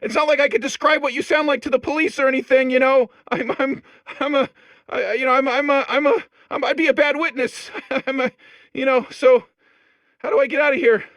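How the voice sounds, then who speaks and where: A man's voice: very high voice, steady tone, brisk, fairly bright tone, no room sound. A man voicing a nervous, rambling video game character who stammers and repeats himself, eager to get away. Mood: nervous, evasive. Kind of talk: improvised-sounding comic video game acting.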